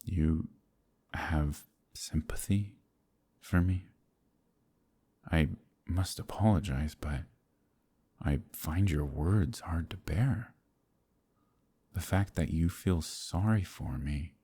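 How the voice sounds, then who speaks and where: A man playing a gentle, troubled werewolf in a romantic fantasy ASMR roleplay, soft-spoken and hesitant, with formal old-fashioned phrasing and a deep, husky voice. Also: heavily acted. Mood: moved, grateful.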